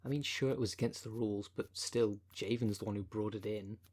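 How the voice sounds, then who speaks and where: Low-voiced wry necromancer coach, played by a man. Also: steady tone, brisk, darkish tone, close to an everyday voice. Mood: wry, reassuring. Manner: chatty, colloquial, wry, consoling. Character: male necromancer coach, wry, foul-mouthed, caring, encouraging his zombie fighter. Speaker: audio roleplay performer. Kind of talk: ASMR roleplay, casual coaching talk.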